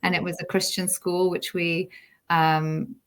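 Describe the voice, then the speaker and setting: A middle-aged British woman talks as a guest on a podcast. Her voice is a bit lower than average, and she tells her story in an informal, reflective, conversational way. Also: flat delivery, unhurried. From United Kingdom.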